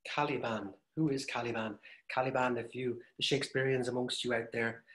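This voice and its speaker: A man's voice: medium pitch, steady tone, a little fast, fairly bright tone. A man hosting an online literary event on a video call, introducing readings in a thoughtful, discursive way with fillers. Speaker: host and director. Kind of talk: reflective lecture-style introduction. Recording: roomy echo on a video call.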